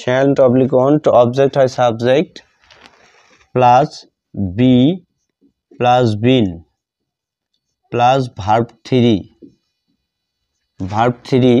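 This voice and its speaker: A man with a Bengali accent teaching grammar step by step, dictating word by word in a halting, repetitive way. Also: mid-range voice, darkish tone, dull audio.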